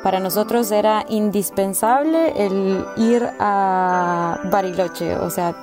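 A woman in her twenties with a Guatemalan accent, casually telling a personal story. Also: medium pitch. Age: 20s. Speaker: interview guest, actress and theater director.

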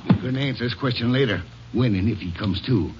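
An actor playing a sneering, mocking bank robber in a 1950s radio crime drama. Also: male voice, mid-range voice, a little fast, close to an everyday voice. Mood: sarcastic, menacing. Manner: mocking, tough. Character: sneering, sarcastic male bank robber in Santa suit. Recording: muffled old radio recording, faint noise.

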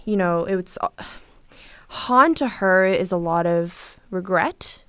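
A woman from Korea hosting a documentary podcast, narrating and interviewing softly with thoughtful pauses. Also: fairly low pitch, clean tone, dry recording.

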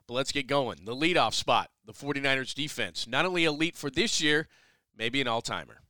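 A man hosting a sports podcast, slightly hoarse, firing off quick opinions with self-mocking asides. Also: medium pitch, bright, forceful tone. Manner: brash, rapid-fire opinions with self-deprecating asides. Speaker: sports radio host. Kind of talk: solo sports podcast commentary.

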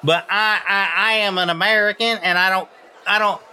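A man's voice: high-pitched, fairly even intonation, bright, forceful tone, clean tone. A man playing a touchy chatty barista. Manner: chatty, quick to take offense. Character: touchy barista proud of his heritage.

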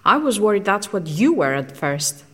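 An actress playing an intense, candid woman scientist in an audio drama, telling of lost love in an earnest, deliberate, wistful way. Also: mid-range voice, big pitch swings, fairly bright tone, breathy edge. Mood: guarded. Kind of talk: audio drama acting, confessional storytelling.

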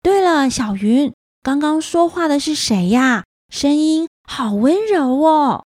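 A woman's voice: high voice, expressive, takes it slowly, a little mellow, no echo, clean studio sound. A woman voicing a timid, polite little lost girl in an earnest, wondering manner for a children's story. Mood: curious.

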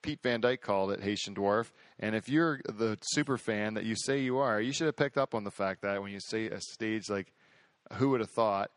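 A man hosting a podcast in casual chat, boastful and excitable, rambling with lots of restarts. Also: lowish voice, normal speed, no room sound. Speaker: podcast host.

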